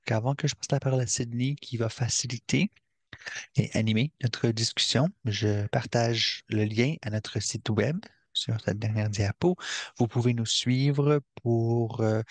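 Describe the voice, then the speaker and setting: A man of mid pitch hosts an online webinar. He introduces the session in a measured way with an occasional pause, and the recording is close and dry with no room sound. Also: gravelly, a little mellow, dry recording. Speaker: webinar host.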